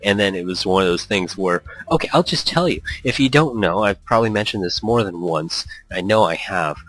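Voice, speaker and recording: A man's voice: deep voice, expressive, brisk, fairly bright tone, poor audio. A man giving casual, chatty let's play commentary that drifts off on tangents. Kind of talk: casual, rambling game commentary with read-aloud dialogue. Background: music.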